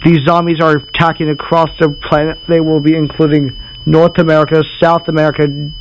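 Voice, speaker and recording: A faint hum sits under a fairly high-voiced man acting as a government representative in an amateur emergency broadcast drama. He delivers a solemn doomsday farewell haltingly, repeating himself. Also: level tone, natural voice. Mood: grave, resigned. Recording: noisy home recording with hum.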